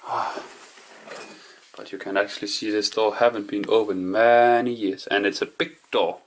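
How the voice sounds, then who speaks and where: A man narrating quietly to camera as he explores an abandoned house, musing in hushed. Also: lowish voice, fairly even intonation, normal speed, slight background noise, poor audio. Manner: hushed, musing, non-native English phrasing. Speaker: urban explorer vlogger. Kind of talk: casual narration while exploring.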